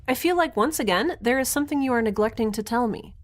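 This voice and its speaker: A woman's voice: a little high, expressive, fast talker, natural voice. A woman playing a capable but flustered young spaceship heroine in an audio drama, casual and wry.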